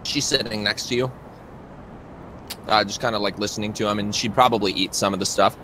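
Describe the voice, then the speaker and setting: A man working as game master, narrating a tabletop roleplay casually with halting, improvised description. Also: lowish voice, steady tone, pushed and bright, a little hiss or hum, poor audio.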